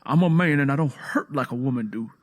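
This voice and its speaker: A man's voice: medium pitch, brisk, natural voice. A man playing a proud, defensive, hot-tempered Southern country man in an audio drama, emotional and defiant.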